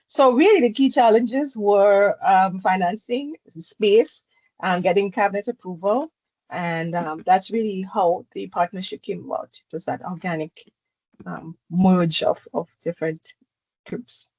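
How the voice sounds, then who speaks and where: A woman answers as a panelist in a brisk, conversational way, trailing off at the ends of sentences. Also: mid-range voice, darkish tone, no room sound. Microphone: video call. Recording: dry, muffled video call audio.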